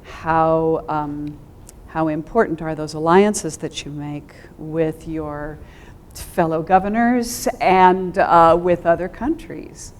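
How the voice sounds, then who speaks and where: A woman speaking as a panel guest in a thoughtful, measured way, pausing between phrases. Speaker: theater scholar, panel guest. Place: on a theater stage. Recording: clear background noise with low rumble.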